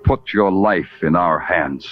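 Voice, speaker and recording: An actor playing a wry, world-weary Broadway police detective, deadpan and poetic, in old-time radio noir style. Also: male voice, low voice, slow pace. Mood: brooding, wry. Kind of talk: 1940s-50s radio noir acting and narration. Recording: dull old radio broadcast with loud music.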